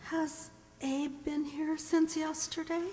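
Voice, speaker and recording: In a hall-like echo, a woman acts out a weak young woman on her sickbed. Her fairly high voice is frail and pleading, played as radio drama. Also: little pitch movement, slow pace, warm tone, soft background music. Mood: weak, wretched. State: weak, bedridden. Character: gravely ill young frontier woman, pleading with her mother. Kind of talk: radio play acting. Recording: reverberant hall-like room.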